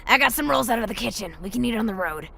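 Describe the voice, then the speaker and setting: A woman playing a tough, protective old adventurer with a raspy voice in an audio drama. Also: a little high, expressive, quick pace, a bit bright, exaggerated voice. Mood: bossy, urging. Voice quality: raspy, gravelly.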